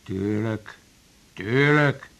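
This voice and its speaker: A man's voice: lowish voice, very slow, creaky, fairly bright tone, heavily acted. An actor playing a chatty, wry male patient with complaints in a radio drama. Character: chatty, ailing male patient in a waiting room.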